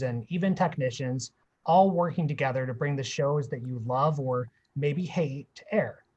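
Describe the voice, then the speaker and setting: A man's voice: a little high, darkish tone, poor audio. A man from Pittsburgh guest-hosting a video panel talk, reading upbeat prepared introductions. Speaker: guest host.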